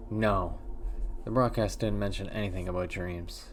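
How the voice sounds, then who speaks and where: Deep-voiced man reading a horror game. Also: steady tone, moderate pace, slight background noise. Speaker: let's play host.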